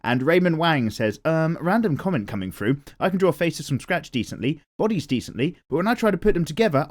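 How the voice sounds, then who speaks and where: A man with an English accent and a nasal voice, casually rambling and reading viewer comments aloud while he sketches. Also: fairly high pitch. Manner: chatty and rambling, swears casually. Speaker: art channel host.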